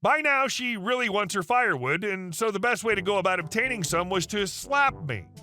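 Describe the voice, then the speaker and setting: Lively, bright-voiced male storyteller. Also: high-pitched, brisk, soft background music. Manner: wry, animated storytelling. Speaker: story narrator. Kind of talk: scripted narration of online stories.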